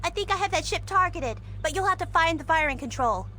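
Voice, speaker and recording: Game role: eager, bossy little girl, high and very animated, over a noisy hum. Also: brisk, heavily acted. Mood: earnest. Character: excited, bossy little girl playing space crew make-believe. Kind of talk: video game voice acting.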